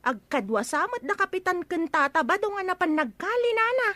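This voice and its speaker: A woman's voice: very high voice, animated, brisk, bright, forceful tone, dry recording, exaggerated voice. A woman with an Ilocano Filipino accent playing a sensible, inquisitive young daughter in a radio comedy drama. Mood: questioning.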